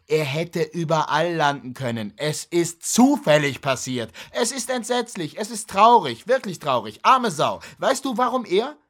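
Bitter husband, swinging pitch. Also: male voice, very animated, unhurried, few pauses, a bit bright, exaggerated voice. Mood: exasperated. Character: bitter, sceptical, hurt husband pleading with wife. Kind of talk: radio drama acting.

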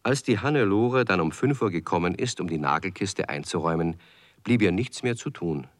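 A man narrating a children's radio play as a storyteller, with expressive, clearly phrased scripted delivery. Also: sits low, brisk, dry recording. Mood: gentle storytelling. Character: male storyteller of a children's tale.

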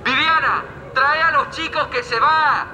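Man acting a high-strung, exasperated Argentine dad. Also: measured pace, a little hiss or hum, exaggerated voice, low-quality recording. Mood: frantic. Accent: Rioplatense Argentine Spanish. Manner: shouting, bickering, barking orders. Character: exasperated, bossy, foul-mouthed father on family holiday. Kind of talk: broad comic family sketch acting.

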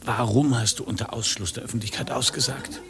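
A man's voice: mid-range voice, fairly even intonation, fairly quick, a bit bright, close to an everyday voice. An actor playing a terse, sardonic, skeptical man humouring a child in a radio play. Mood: dry, skeptical.

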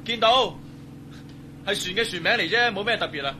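Casual sidekick pilot, higher-pitched, deferential, sceptical, noisy radio drama scene. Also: male voice, animated, moderate pace. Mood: offhand. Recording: noisy aircraft sound effects.

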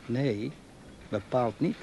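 An actor playing a lonely, brooding old widowed father in a radio play, with a slow, halting, musing way of talking. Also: mid-range voice, mellow, slight background noise. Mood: tentative. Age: older.

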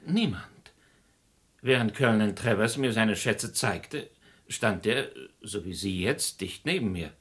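Formal businessman, lively low voice. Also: unhurried. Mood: composed, helpful. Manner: polite, composed, formal. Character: obliging, busy businessman, amateur art collector. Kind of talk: German radio crime play acting.

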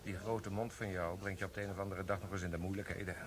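An actor playing a polite but pointed military police sergeant in a radio play. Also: male voice, sits low, fairly even intonation, quick pace, crowd noise. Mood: cool.

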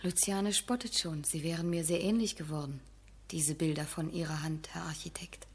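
A modest, melancholy young woman in a literary radio drama. Her voice is rather low for a woman and heavily breathy. She speaks gently and earnestly, holding her feelings back. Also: fairly even intonation, moderate pace. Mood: shy, wistful. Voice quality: breathy, soft. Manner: restrained, earnest. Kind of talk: 1970s German radio drama acting.

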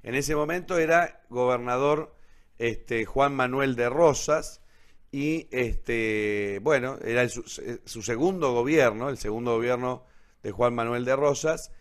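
A man's voice: medium pitch, measured pace. Argentine guy giving an informal lit talk, teacherly, repeats key points, keeps checking you follow. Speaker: literature presenter on a radio theatre programme. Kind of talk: informal explanatory lecture with check-in questions.

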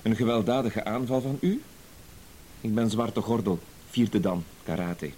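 Very deep, mellow, unruffled conman played by a man. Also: a little slow, lightly acted. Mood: self-assured. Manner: matter-of-fact, terse, unruffled. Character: cool, self-assured professional blackmailer and con artist. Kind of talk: radio drama acting. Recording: hissy old radio play recording.

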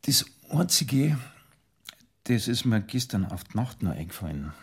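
Plodding bavarian village cop, husky lowish voice, hesitant, matter of fact, radio drama. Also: male voice, big pitch swings, unhurried, full character voice. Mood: careful reporting. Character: hesitant, plodding male village police sergeant.

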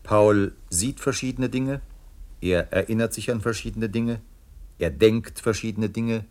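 An actor playing a detached, deliberate announcer in an experimental radio play, speaking in measured declarative sentences. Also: male voice, on the low side, darkish tone, close to an everyday voice. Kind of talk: scripted radio play narration.

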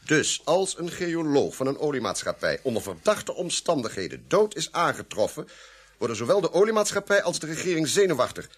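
Pompous police inspector, husky and animated. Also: male voice, on the high side, fairly quick. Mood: lecturing, authoritative. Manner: formal, pompous, authoritative. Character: officious, commanding male Scotland Yard police inspector. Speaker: actor in a radio play. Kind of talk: Dutch radio drama acting.

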